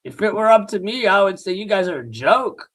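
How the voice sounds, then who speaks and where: A man's voice: high voice, big pitch swings, brisk, a bit bright. A man hosting a livestream, giving mocking live reaction commentary with a sore throat. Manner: mocking, laughing ranting. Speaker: livestream host.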